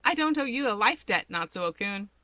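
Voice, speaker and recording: A woman voicing a wry, harried young male hero with brisk delivery in an audio story. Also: medium pitch, expressive, bright, forceful tone, dry recording.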